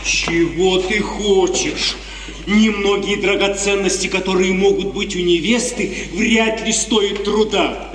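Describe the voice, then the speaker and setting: An actor playing a nervous, venal manservant in an agitated 1950s radio theatre manner. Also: male voice, high voice, slow. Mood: uneasy. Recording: old echoey radio play, distant, faint noise.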